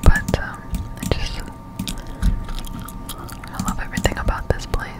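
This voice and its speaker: A woman whispering casual, halting ASMR commentary over gameplay in a breathy voice, close to the microphone. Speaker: gaming streamer, ASMR creator.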